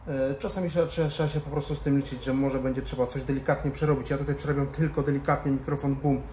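A man's voice: mid-range voice, flat delivery, fast talker, dark tone. Middle-aged man in an echoey room. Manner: informal, muttering to himself while working. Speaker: motorcycle vlogger. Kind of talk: casual hands-on tutorial talk. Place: indoor garage-bar lounge room. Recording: roomy echo, background noise.

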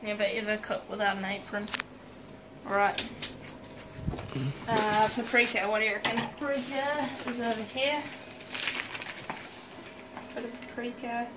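A young woman chats through a home cooking demo with playful, self-mocking asides. Her voice sits a little high. She is filmed up close in a small kitchen at night, and pots, dishes and cutlery clatter loudly behind her. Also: steady tone, unhurried, long pauses. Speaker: cooking vlog host. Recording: noisy home kitchen recording. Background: loud clatter of pots, cutlery and dishes.

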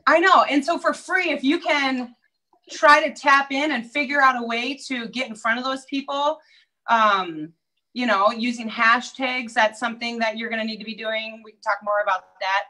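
A woman's voice: on the high side, normal speed, bright, forceful tone, rough recording. A woman with a Minnesota accent, a real estate agent chatting upbeat as a guest over a video call. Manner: chatty, upbeat, many fillers. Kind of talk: casual interview chat.

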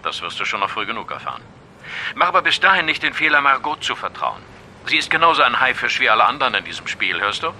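An actor playing a mysterious, commanding informant in a German audio drama, cryptic and insistent. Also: male voice, mid-range voice, big pitch swings, fast talker, pushed and bright, slight background noise, full character voice. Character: mysterious, commanding male informant giving warnings.

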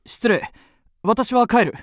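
A man's voice: very high-pitched, slow pace, dry recording. An actor playing a vain, evasive young male student in a radio drama, theatrical and awkward. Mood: stiff, awkward. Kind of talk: radio drama acting with inner monologue.

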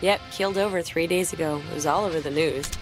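A domineering teenage mean girl with an American accent , acted by a woman. She is sarcastic and cutting, with a deadpan bite to her delivery. Faint game music plays behind the dialogue. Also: low voice, fast talker, natural voice, rough recording. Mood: sardonic, deadpan. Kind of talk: naturalistic video game acting.

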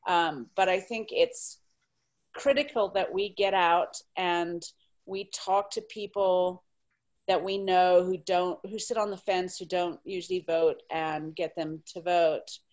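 A woman's voice: fairly low pitch, fairly even intonation, slow. A woman from Zimbabwe speaking on a panel, candid and impassioned, blunt in her opinions. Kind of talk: panel discussion.

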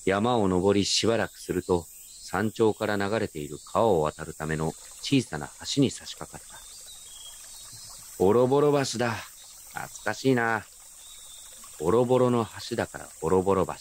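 A man playing a gentle, nostalgic grown man who narrates his memories in a reflective, unhurried radio drama style. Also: lowish voice, animated, a little hiss or hum. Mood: friendly, musing. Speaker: narrator and lead actor. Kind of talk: first-person radio drama narration with character dialogue.

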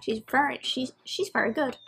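A woman's voice: high-pitched, normal speed, creaky, background noise. A woman giving casual reaction commentary as she watches.

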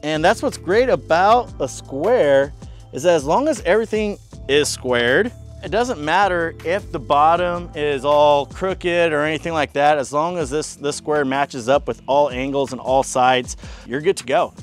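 A man's voice: a little high, unhurried, soft background music. A man in his thirties giving a casual, chatty DIY woodworking tutorial on an outdoor covered porch workshop, talking to viewers as guys. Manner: upbeat, chatty, says guys and man a lot. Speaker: fitness coach building gym gear.

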